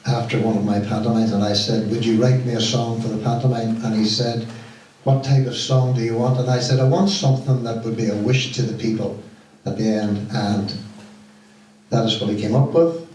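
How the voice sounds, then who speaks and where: A middle-aged or older man with a Donegal Irish accent gives an informal, deliberate thank-you speech to an audience as a pantomime director. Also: fairly low pitch, little pitch movement, a little mellow. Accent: Irish, County Donegal. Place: stage of a community hall. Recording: very echoey hall, distant mic, faint noise.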